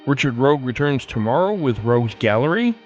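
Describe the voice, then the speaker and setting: Man, medium-pitched voice, podcast host, scripted commentary, faint music underneath. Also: sing-song, brisk, muffled recording.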